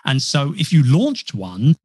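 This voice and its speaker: A man's voice: medium pitch, huge pitch swings, unhurried, a little mellow, breathy edge, dry recording, clean studio sound. A man chatting as a podcast guest, rambling and self-deprecating with frequent restarts. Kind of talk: casual interview talk. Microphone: podcast mic.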